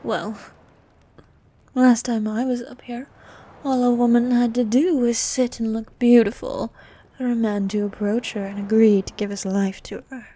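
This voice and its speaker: A woman voicing a vain, flirtatious sea queen monster in an ASMR roleplay, soft and half-whispered, with a regal, teasing manner. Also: on the high side, steady tone. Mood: teasing, haughty. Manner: regal, teasing, slow and coaxing.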